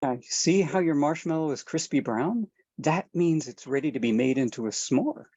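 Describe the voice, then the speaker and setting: A calm, reassuring father, read by a woman at a table read. The voice is extremely deep, dark and husky. The audio is dull and muffled. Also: moderate pace. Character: gentle, patient father.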